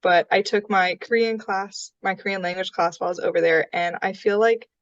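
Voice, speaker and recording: A woman's voice: medium pitch, steady tone, brisk, no room sound. A young woman student speaking casually and earnestly on a study abroad panel. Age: young adult. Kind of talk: panel discussion. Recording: dry, dull lo-fi audio.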